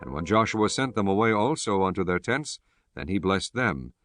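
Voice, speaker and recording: A man narrating scripture for an audiobook in a measured, solemn way. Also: deep voice, sing-song, fairly bright tone, slight background noise.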